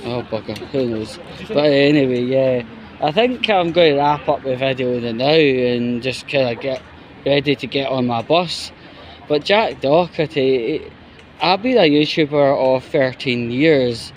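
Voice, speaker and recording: A man's voice: medium pitch, animated, unhurried, slight background noise. A man giving an opinionated, exasperated, sweary commentary in a casual vlog style. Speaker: YouTuber.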